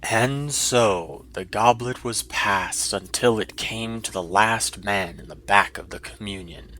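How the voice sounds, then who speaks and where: A man's voice: on the low side, slow pace, sharp tone, a little hiss or hum, low-quality recording. A man narrating a scripted fantasy story in a dramatic style.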